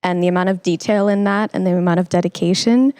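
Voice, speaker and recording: A woman's voice: on the low side, fairly even intonation, fast, darkish tone, smooth, no room sound. A young woman hosting a conversational podcast interview, speaking in an effusive, encouraging way. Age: young adult. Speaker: podcast host.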